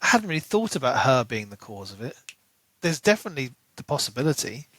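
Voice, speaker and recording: This man speaks at a medium pitch in a very dry recording. He is a podcast co-host chatting informally about books, reasoning analytically and often posing questions as he goes. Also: sing-song, quick pace, fairly bright tone, dry recording, poor audio. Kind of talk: casual book discussion.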